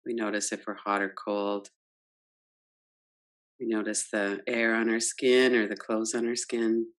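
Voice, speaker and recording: A woman leading a guided yoga nidra meditation, gentle and unhurried, guiding with soft questions. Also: very deep voice, little pitch movement. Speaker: meditation teacher.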